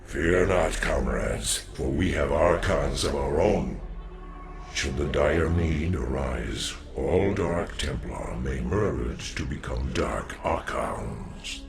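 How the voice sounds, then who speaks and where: An actor playing a solemn, noble alien dark warrior in a video game, speaking formally in a gravelly voice. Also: male voice, full character voice. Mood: grave, steady. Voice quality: very deep, gravelly. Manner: slow, formal, measured. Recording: loud music behind the voice. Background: loud game music.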